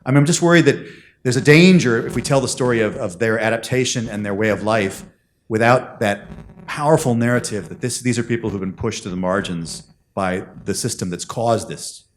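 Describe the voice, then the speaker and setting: A man's voice: lowish voice, a little fast. Man asking a rambling question from the audience. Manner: passionate, rambling, concerned. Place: lecture hall audience. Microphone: handheld microphone.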